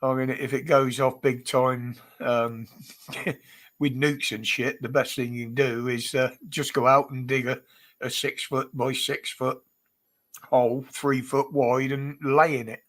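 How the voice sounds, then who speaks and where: A man's voice: mid-range voice, little pitch movement, unhurried, a bit bright. A British man hosting a casual livestream chat, his nose blocked up, chatty and greeting viewers by name with frequent chuckles. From United Kingdom. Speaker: livestream host.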